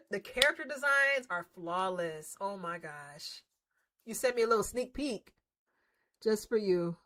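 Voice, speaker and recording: Animated, bright-voiced woman streamer. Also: medium pitch. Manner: animated, slangy, teasing, chatty with viewers. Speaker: streamer playing a visual novel. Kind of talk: casual livestream reaction and game commentary.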